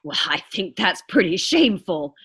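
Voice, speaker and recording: A woman's voice: fairly low pitch, big pitch swings, moderate pace, fairly bright tone, slightly rough, full character voice. A woman playing a haughty, fretful married lady in a 1940s-style radio thriller, insistent, exasperated and stammering when flustered. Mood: indignant. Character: imperious, fretful, high-strung married woman.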